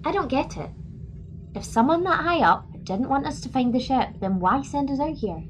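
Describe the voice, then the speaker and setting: A woman's voice: sits high, animated, moderate pace, faint music behind. A woman playing a friendly, doubting starship engineer in an audio drama, informal in manner. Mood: doubtful.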